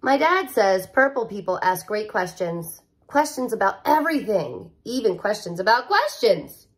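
A woman reads a children's picture book aloud in the manner of a teacher. Her delivery is playful and expressive, with a widely swinging pitch. She leans on key words and slips in casual asides. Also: moderate pace.

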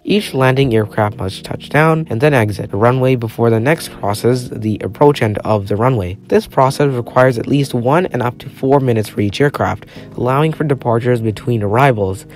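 A man's voice: medium pitch, a little mellow. A man reading scripted narration for a documentary-style explainer. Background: background music.

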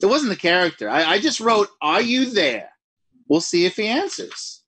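A man's voice: high-pitched, big pitch swings, normal speed, a bit bright, husky, no room sound, heavily acted. A man playing a jumpy writer alone at night, rambling and mocking himself in 1940s radio acting style. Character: jittery lone male writer talking to himself.

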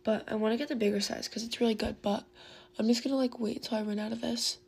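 Chatty young woman with a gravelly voice. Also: on the high side, fairly even intonation, quick pace, darkish tone. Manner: rambling, heavy use of "like", chatty. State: losing her voice. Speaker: beauty vlogger. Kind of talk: casual get-ready-with-me chat. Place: bedroom at a vanity desk, indoors. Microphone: phone camera close in front.

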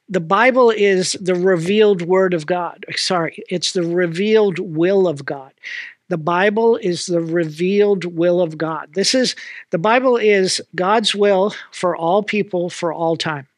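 A man's voice: sits high, unhurried, no echo, clean studio sound. A middle-aged American man preaching to Bible school students, checking in with "amen?" and "okay?" after nearly every point. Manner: punctuates phrases with "amen?" and "okay?". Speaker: pastor. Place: small indoor room with mantel decor.

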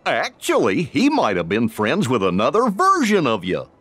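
A man voicing a gentle, friendly cartoon dog with a dopey, hiccupy drawl in a video game. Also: medium pitch, wildly swooping pitch, normal speed, husky, no echo, exaggerated voice. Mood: helpful. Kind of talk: animated video game acting.

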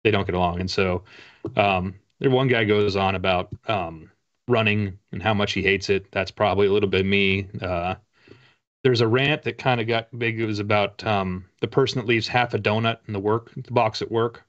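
A middle-aged American man talks casually as an author being interviewed. His voice sits on the low side and his humor is wry and self-deprecating. The sound is a slightly muffled video call from a home room. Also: fairly even intonation, normal speed. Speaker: author guest. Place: small home room lined with bookshelves. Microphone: video call, at a desk.

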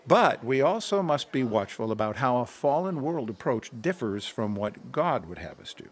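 An actor playing an earnest pastor leading a Bible study in a radio drama, measured and explanatory. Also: male voice, mid-range voice, big pitch swings, slightly rough.

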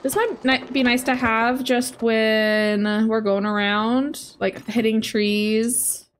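A young woman in her twenties chatting casually while she plays a game on stream, thinking aloud through a headset mic, her voice a bit raspy today. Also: fairly high pitch, fairly even intonation, takes it slowly. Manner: chatty, thinking aloud, soft asides to chat. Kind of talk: casual gameplay commentary.

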